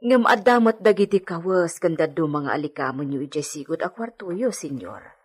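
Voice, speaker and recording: Actress as a low-pitched, fretful Ilocano housemaid. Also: female voice, lively intonation, normal speed, breathy edge. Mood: deferential. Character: deferential, fretful household maid, Manang Pedrita. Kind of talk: radio drama acting.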